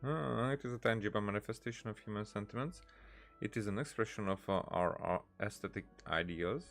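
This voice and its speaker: Man, game commentary, casual, halting asides, creaky voice, mid pitch, faint background music. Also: a bit bright. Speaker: gaming streamer. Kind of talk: casual game commentary, reading dialogue choices aloud.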